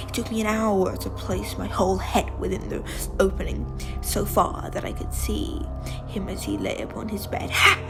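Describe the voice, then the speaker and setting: A woman playing a deranged, gloating murderer in a dramatic gothic story reading, theatrical and often dropping into breathy whispers. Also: medium pitch, lightly acted. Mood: sly, hushed, then manic pride. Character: deranged, gloating murderer confessing while insisting on sanity.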